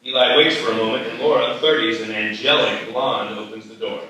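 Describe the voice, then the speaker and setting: A man's voice: lowish voice, fairly even intonation, a little fast, pushed and bright. A man reading stage directions as scripted narration at a live screenplay table read. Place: live festival reading in an echoey room. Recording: echoey hall, distant mic, faint noise.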